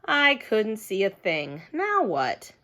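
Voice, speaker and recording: A woman's voice: medium pitch, huge pitch swings, slow, pushed and bright, exaggerated voice. A woman voicing a small, curious, rather glum male toy elephant in a children's storybook. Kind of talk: children's storybook character reading.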